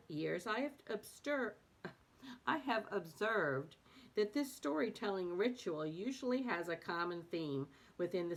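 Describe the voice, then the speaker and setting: A woman hosting a read-aloud, sharing a self-help book in a casual, slightly halting way with small self-corrections. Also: on the low side, a bit bright. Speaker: host of a read-aloud series.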